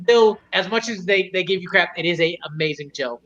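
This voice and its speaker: A man hosting a casual podcast, talking in an emotional, halting way. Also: high-pitched, bright, forceful tone. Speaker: podcast host. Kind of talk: casual chat. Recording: dull lo-fi sound.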